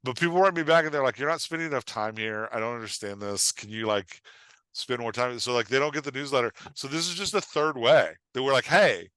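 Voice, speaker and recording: Bright-voiced man, dry close sound. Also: mid-range voice, a little fast, clean tone, no room sound. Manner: rambling, peppered with "like" and reported quotes. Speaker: podcast guest and writing coach. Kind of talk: casual conversation, explaining and joking.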